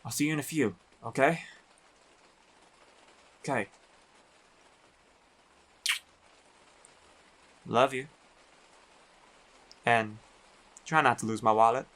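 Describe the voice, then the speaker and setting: A man playing a big, teasing, affectionate biker werewolf boyfriend in an intimate roleplay audio, talking in an unhurried, colloquial way. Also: medium pitch, fairly bright tone, natural voice. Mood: affectionate, light.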